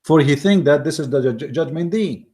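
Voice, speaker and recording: A man with an Arabic accent whose first language is Arabic, giving argumentative commentary in mocking, emphatic English with repeated words and halting restarts. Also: on the high side, warm tone. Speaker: online commentator. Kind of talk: argumentative commentary reading from an article.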